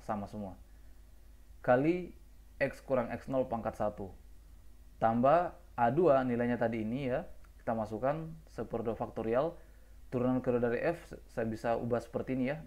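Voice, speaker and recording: A man's voice: medium pitch, darkish tone. Patient man lecturing on math. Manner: patient, repeats "ya" often, self-corrects. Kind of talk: lecture explaining formulas while writing.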